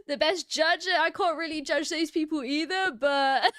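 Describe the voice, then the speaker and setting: A woman's voice: high voice, unhurried, a bit bright, dry recording, clean studio sound. A British woman hosting a livestream who chats casually and giggly, reading viewer comments aloud. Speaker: livestream host. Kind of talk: casual chat reacting to live comments.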